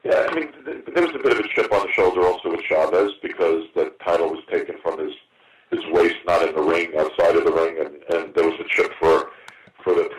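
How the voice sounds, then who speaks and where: A man in his fifties answering questions on a conference call as a boxing promoter, halting and often repeating words. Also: deep voice. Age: 50s. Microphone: phone on a conference call. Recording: roomy, distant, noisy teleconference phone line.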